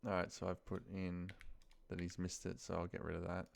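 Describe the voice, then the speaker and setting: A man's voice: low-pitched, completely flat delivery, a little fast, gravelly, slight background noise. A man talking through a screen recording informally, thinking aloud and muttering to himself in an offhand way. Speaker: office worker recording a spreadsheet walkthrough.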